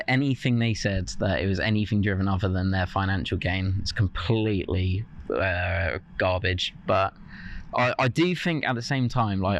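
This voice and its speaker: A man's voice: on the low side, a little hiss or hum. A man in his twenties, a football fan, speaking bluntly and indignantly in a documentary interview. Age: 20s.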